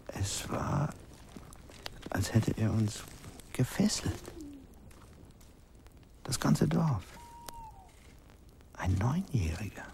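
An actor playing a grave village man who recounts a haunting past in a hushed, halting radio drama narration, his husky voice often sinking to a whisper. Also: fairly low pitch, a little hiss or hum, exaggerated voice. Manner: hushed, halting storytelling in short sentences.

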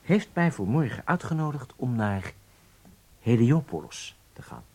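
An actor playing a calculating German undercover spy in a Dutch radio drama, explaining things deliberately and intimately to his partner. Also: medium pitch, sing-song, darkish tone, breathy edge, exaggerated voice. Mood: affectionate, confiding.

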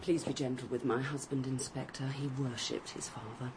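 A woman's voice: rumbling low, steady tone, moderate pace, very noisy. An actress playing a cool, remorseless woman scientist in a radio drama, with a precise, clipped, detached delivery. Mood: cool, firm.

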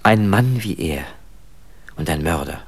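A man's voice: low voice, animated, a little slow, full character voice. An actor playing a cool, evasive young French businessman in a German radio play, reserved and politely curt. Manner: reserved, clipped, hesitant politeness. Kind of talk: German radio drama acting.

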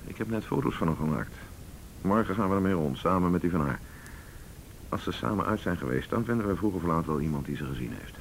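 A man's voice: low voice, expressive, quick pace, slight background noise, low-quality recording. An actor playing a determined senior male police detective with a Netherlands Dutch accent, reasoning insistently in a radio drama. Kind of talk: naturalistic radio drama dialogue.

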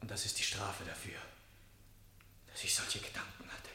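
An actor playing an anguished murder suspect in a radio play, pleading in an earnest, halting, brooding manner. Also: male voice, low voice, level tone, sharp tone, a little hiss or hum. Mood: subdued, brooding. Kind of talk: German radio play acting. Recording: roomy, echoing studio radio play.

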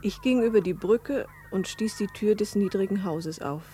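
An actress playing a troubled, melancholy wife and mother in a German radio play, speaking slowly and gravely with breath in her voice. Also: medium pitch, mellow, slight background noise, close to an everyday voice. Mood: grieving, solemn. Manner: slow, grave, breathy dropping to whispers.

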